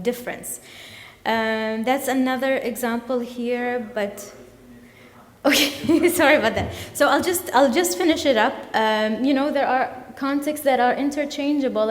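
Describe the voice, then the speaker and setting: A woman whose first language is Arabic, giving an academic conference talk, halting and full of fillers and self-corrections. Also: fairly high pitch. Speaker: conference presenter. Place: lecture hall. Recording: echoey hall with long reverb.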